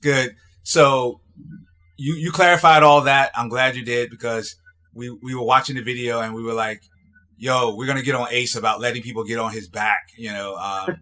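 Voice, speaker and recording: A man speaking as a coach in a casual phone chat, advising in a halting way with repeated words. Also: mid-range voice, sharp tone. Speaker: coach, interviewer. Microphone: phone call.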